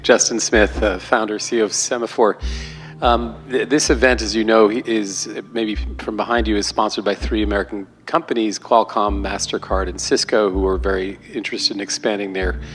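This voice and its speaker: Male forum questioner, fairly low voice, halting and self-correcting, big-room echo. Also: little pitch movement, a bit bright, faint music behind. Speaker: media executive, audience questioner. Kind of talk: unscripted question at a public forum.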